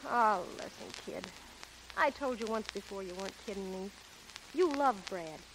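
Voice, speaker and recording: A woman's voice: medium pitch, expressive, moderate pace, close to an everyday voice. An actress playing a brassy, blunt, loyal showgirl pal with slangy wisecracks, in 1930s radio comedy-drama style. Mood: soft, sympathetic. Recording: noisy, hissy 1930s radio broadcast.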